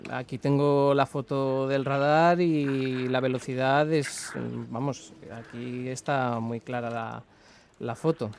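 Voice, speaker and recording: A man's voice: medium pitch, steady tone, slow pace. A man playing a polite, apologetic traffic official on a prank call, with an accent from Spain and a hesitant, formal manner. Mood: matter-of-fact. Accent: Spanish from Spain. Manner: hesitant, courteous, official-sounding. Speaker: radio prank caller. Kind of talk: improvised prank phone call in a formal register.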